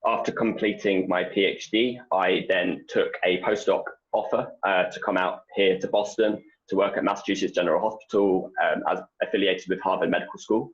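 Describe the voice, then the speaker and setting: Young Englishman, low-voiced, loose chatty answers full of ums over a dull video call line. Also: moderate pace, hardly any pauses. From England. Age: young adult. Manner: relaxed, rambling with many fillers and restarts. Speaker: postdoc researcher guest. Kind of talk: casual interview answers.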